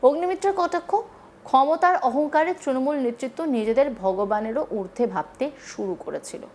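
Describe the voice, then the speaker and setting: A woman's voice: sits high, brisk, darkish tone, slight background noise. A woman presenting scripted news as an anchor.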